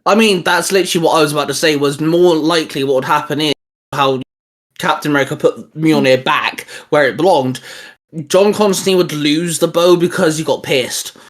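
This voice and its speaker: A young man chatting about comics and ideas, his voice pitched slightly above the usual male range. He rambles, restarting sentences and leaning on fillers as he thinks aloud. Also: fairly quick. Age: young adult. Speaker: channel co-host. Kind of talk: casual geeky chat.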